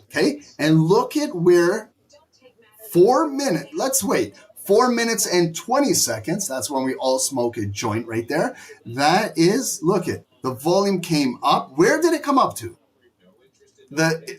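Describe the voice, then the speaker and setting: A hoarse middle-aged man teaching a live trading lesson in an emphatic, scolding way. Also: high voice, very animated, a little slow. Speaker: trading instructor.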